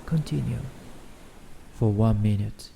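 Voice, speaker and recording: A man guiding a meditation in a gentle, soothing, instructional way, reading as scripted narration. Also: fairly low pitch, slow pace, creaky. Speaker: meditation guide. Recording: faint background noise, ambient music. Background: soft ambient music.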